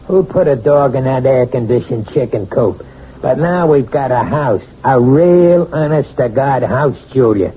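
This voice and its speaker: A man's voice: medium pitch, expressive, unhurried, close to an everyday voice. An American actor playing a bullying, self-pitying husband in a radio drama, blunt, sarcastic and slangy as he badgers. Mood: aggrieved, insistent. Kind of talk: old-time radio drama acting. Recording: muffled, noisy old radio broadcast.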